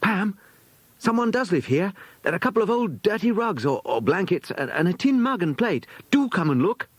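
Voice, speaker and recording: Man plays British schoolgirl in a children's storybook: excitable, exclaiming, pitched well up. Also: moderate pace, breathy edge, no echo, full character voice. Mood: excited. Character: excitable little schoolgirl exploring.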